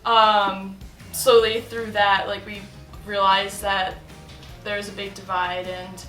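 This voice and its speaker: A young woman chats casually in an interview, her voice bright and forceful. She fills her talk with likes and ums and laughs easily. The room rings with echo, and faint music plays under her. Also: mid-range voice, little pitch movement, slow. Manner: chatty, many fillers and laughs.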